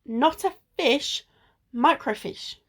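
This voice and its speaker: A woman's voice: high voice, slow. A woman acting in an amateur radio play as a sensible, bossy sleuth with an exasperated, chiding manner. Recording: recorded at home in isolation.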